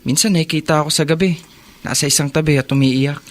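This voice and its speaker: An actor plays a responsible young Filipino man in a radio drama. He sounds earnest and respectful, with a voice a little higher than most men's. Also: steady tone, fast, close to an everyday voice. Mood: troubled.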